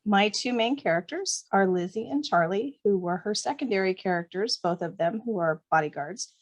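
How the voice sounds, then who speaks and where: Woman author guest just chatting casually, medium pitch voice. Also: animated, normal speed. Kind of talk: casual interview chat.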